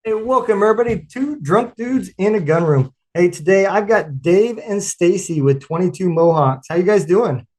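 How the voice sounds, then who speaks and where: Male host, warm-toned, casual and chatty, plenty of fillers. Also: high-pitched, moderate pace, hardly any pauses. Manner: relaxed, chatty, with many fillers. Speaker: podcast host. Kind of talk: casual interview chat.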